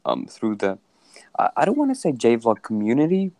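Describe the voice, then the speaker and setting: A man's voice: mid-range voice, huge pitch swings, moderate pace, dark tone, no echo. A man whose first language is Afrikaans, chatting on a video call, hesitant with many restarts. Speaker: guest. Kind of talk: casual video call chat.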